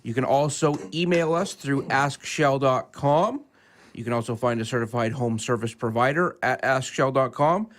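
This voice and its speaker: A man's voice: medium pitch, normal speed. A man announcing details to listeners as a radio show's operations manager.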